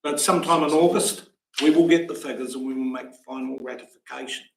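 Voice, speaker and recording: A man's voice: mid-range voice, fairly even intonation, brisk, creaky, a little hiss or hum. Firm man chairing a council meeting. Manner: firm, orderly, addresses the room directly. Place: council chamber. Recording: echoey meeting room.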